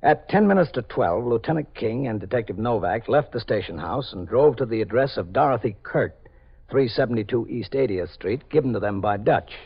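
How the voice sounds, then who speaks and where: A man reads formal, measured narration for a vintage radio crime series. His voice is slightly husky at a middle pitch. The old broadcast sound is dull and muffled. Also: lively intonation. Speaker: announcer and narrator.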